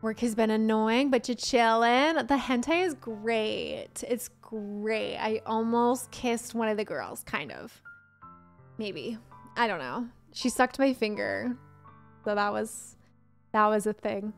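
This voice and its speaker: A hoarse young woman streamer, chatting casually and rambling to her viewers at home. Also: a little high, lively intonation, takes it slowly, soft background music. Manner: chatty, rambling, talks to chat. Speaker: game streamer. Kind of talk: casual live-stream chat.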